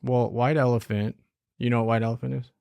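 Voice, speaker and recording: A man's voice: mid-range voice, little pitch movement, brisk, creaky, a little mellow, smooth, no echo. Easygoing young man, dry close voice. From Southern California. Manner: easygoing, reflective, says "like" often. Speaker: podcast co-host. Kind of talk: casual chat.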